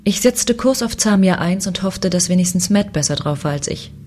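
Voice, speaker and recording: A woman playing a bitter, lonely space police inspector in a science fiction audio drama, with a crisp, sardonic and reproachful manner. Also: mid-range voice, animated, moderate pace, a little mellow, slight background noise, natural voice. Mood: rueful.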